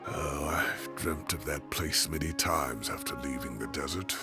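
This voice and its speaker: A man voicing an ageing, wry and sentimental professor with a drawn-out, self-deprecating manner in a video game. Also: a bass voice, music in the background. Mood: wistful, remorseful. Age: older. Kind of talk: animated video game voice acting.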